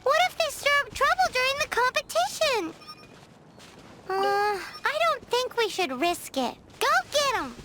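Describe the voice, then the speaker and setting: A woman's voice: very high voice, sing-song, a little slow, over music, exaggerated voice. A woman voicing a tiny, chirpy fairy girl companion who speaks of herself in the third person, in a game. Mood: startled. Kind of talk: game voice acting. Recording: game soundtrack under voice.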